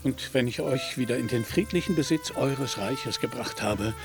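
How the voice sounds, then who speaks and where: An actor playing a deluded, hot-tempered knight in a German radio play, declaiming in solemn, pompous courtly speech. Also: male voice, medium pitch, normal speed, music in the background, lightly acted. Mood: solemn, devoted.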